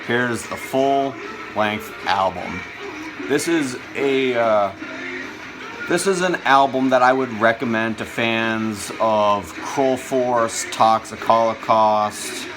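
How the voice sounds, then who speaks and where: A man with a fairly low voice hosts a casual show-and-tell vlog. He talks in an enthusiastic, conversational way, with clearly audible music behind him. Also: poor audio. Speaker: video host showing a music collection.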